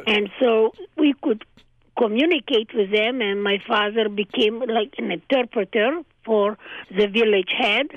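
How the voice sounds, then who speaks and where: An older woman from Transylvania, a native Hungarian speaker, recounting her childhood in an interview in measured, short phrases. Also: fairly high pitch, no echo. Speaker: guest. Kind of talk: oral history interview. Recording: dry tinny phone line.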